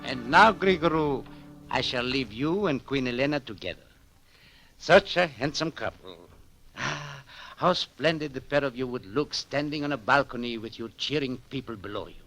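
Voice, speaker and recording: A suave older count from a 1940s radio drama, with a medium-pitched voice that swoops expressively. He is formal and condescending, keeping his menace wrapped in courtesy. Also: lively intonation, moderate pace, bright, forceful tone, faint music behind, poor audio. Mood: smoothly condescending.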